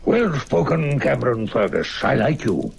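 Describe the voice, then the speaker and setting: Creaky, slow-talking sly old doctor. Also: male voice, mid-range voice, big pitch swings, full character voice. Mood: sly, hearty. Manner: hearty, pompous, chuckling between deliberate phrases. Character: genial, sly older doctor mentoring a student. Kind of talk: old-time radio drama acting. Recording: hissy old radio drama recording.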